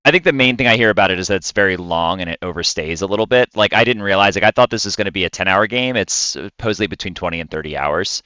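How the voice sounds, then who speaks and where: A man's voice: sits low, expressive, fast, hardly any pauses, bright, forceful tone, clear, resonant tone, no echo, lo-fi sound. A man co-hosting a podcast in casual gaming chat, often repeating and rephrasing himself mid-thought. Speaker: podcast co-host.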